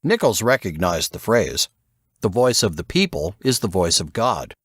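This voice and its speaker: A man's voice: low-pitched, big pitch swings, normal speed, a bit bright, slightly rough, dry recording, clean studio sound. A man reading a novel aloud as a scripted audiobook narrator.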